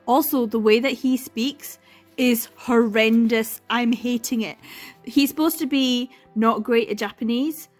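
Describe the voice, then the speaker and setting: A woman's voice: fairly high pitch, steady tone, slow pace, long pauses, soft background music. A young woman in a casual reading vlog, talking through a manga with exasperated sighs, laughs and dramatic repetition. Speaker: book vlogger.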